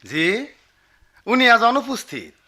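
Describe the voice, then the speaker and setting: An actor playing a droll, servile office peon with a colloquial Bangladeshi accent in a radio comedy drama. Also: male voice, very high voice, huge pitch swings, slow pace, bright, forceful tone, heavily acted. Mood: deadpan, cheeky. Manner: droll, literal-minded, servile. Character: cheeky, simple-minded office peon, male.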